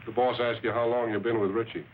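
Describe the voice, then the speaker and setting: A man's voice: medium pitch, flat delivery, quick pace, a little hiss or hum, close to an everyday voice. Actor as tough gangster henchman, tinny old film. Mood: skeptical. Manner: curt, hard-boiled. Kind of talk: 1940s film noir acting.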